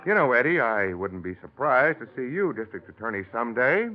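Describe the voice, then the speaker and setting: An actor in a vintage radio drama playing a genial, confident district attorney with jovial, easy authority. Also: male voice, medium pitch, big pitch swings, a little fast, soft background music, natural voice. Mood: teasing, approving. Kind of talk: 1940s radio acting. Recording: slightly dull vintage radio recording.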